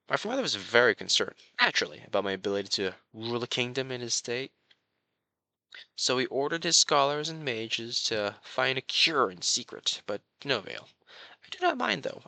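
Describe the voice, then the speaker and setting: Man playing a bright-voiced, possessive haughty prince. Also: mid-range voice, no echo. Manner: pompous, coaxing, theatrical. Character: possessive, haughty cat-shifter prince, sweetly menacing. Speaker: voice actor in audio roleplay. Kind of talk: dramatic roleplay addressed to the listener.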